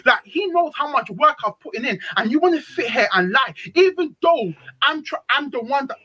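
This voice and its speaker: A young woman with a deep voice, telling a story on a live panel stream in a slangy, dramatic way. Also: expressive, moderate pace, pushed and bright, dull audio. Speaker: panel speaker.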